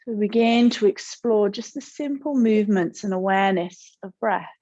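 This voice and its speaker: Gentle woman guiding meditation, slightly muffled. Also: medium pitch. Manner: gentle, calm, unhurried with pauses. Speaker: yoga and meditation teacher. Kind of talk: guided meditation instruction.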